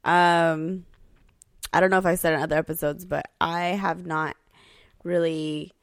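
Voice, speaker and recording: Podcast co-host, woman, lower pitch, dry close sound, blunt and profane. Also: little pitch movement, measured pace, clear, resonant tone, no echo. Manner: blunt, swears freely. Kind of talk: casual chat.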